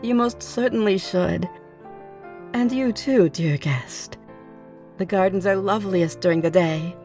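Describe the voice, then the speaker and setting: A woman's voice: mid-range voice, measured pace, a little mellow, soft background music, natural voice. A woman playing a gracious, playful vampire in an ASMR roleplay, with a formal, courteous, poised way of speaking. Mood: admiring.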